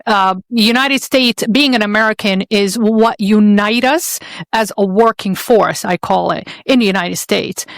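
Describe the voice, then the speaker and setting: A woman's voice: medium pitch, dry recording. Earnest woman host, dry close sound. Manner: earnest, rambling, heartfelt. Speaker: podcast host. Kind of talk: casual interview chat.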